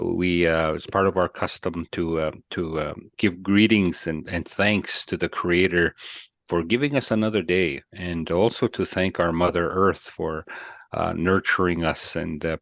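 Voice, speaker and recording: Canadian man, deep voice, dry close sound, moderating a webinar, unhurried with lots of "uh"s. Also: dry recording. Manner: unhurried, with many filler pauses. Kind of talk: hosting a panel webinar. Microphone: video call.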